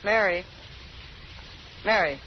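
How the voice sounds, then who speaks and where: A woman's voice: medium pitch, takes it slowly, lightly acted. An actress playing a worldly, wisecracking but kindly female convict, slangy and tough, in old radio drama style. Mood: wry. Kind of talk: 1930s-40s radio drama acting. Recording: muffled, faintly noisy old radio recording.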